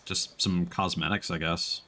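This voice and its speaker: A man's voice: low voice, level tone, unhurried, sharp tone. A man live-streaming a game with casual commentary, reacting aloud in a wry, joking way.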